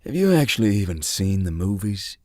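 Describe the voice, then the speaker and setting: An actor playing a dry, sardonic man who believes in ghosts, speaking deadpan in game dialogue. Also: fairly low pitch, a little fast, dry recording.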